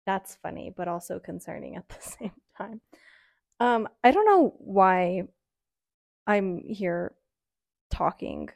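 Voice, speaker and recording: A young woman in her early twenties chats on her own in a loose, rambling way, often pausing on "um" and "like." Her voice sits at an ordinary middle pitch, and the recording is dry and close with hardly any room sound. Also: animated, gravelly, a little mellow, no room sound. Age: early 20s. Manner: rambling, frank, many "um"s and "like"s. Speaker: podcaster. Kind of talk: casual solo chat.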